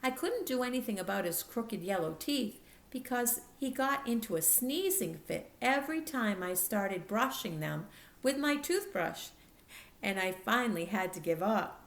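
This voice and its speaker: A woman reading a children's chapter book aloud expressively, with careful, deliberate storytelling delivery. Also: medium pitch, expressive, roomy sound.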